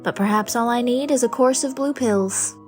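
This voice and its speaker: A woman playing a tender, fanciful ageing spinster with an expressive manner in a dramatised audiobook. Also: a little high, moderate pace, soft background music, close to an everyday voice. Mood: weary, petulant. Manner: wistful, expressive.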